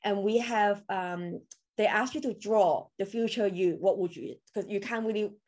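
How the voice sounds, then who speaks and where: This woman from Hong Kong talks in English, with Cantonese as her first language. She chats at an easy pace and stacks up fillers and restarts as she tells her story. Her voice sits in a middle pitch range for a woman. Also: normal speed, darkish tone. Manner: chatty storytelling with non-native grammar and many fillers. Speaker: podcast guest, crypto coach. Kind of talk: casual interview chat.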